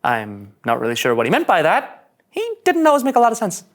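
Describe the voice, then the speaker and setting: A man's voice: sits high, wildly swooping pitch, a little fast. A man playing an anxious, earnest young starship ensign in a sci-fi comedy, nervous and overdramatic. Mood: brooding narration. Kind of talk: animated sci-fi comedy table read.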